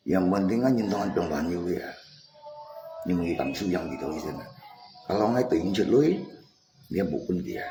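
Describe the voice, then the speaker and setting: A man chatting casually and insistently on a live stream, often repeating himself. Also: deep voice. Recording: echoey room, music mixed in. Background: music.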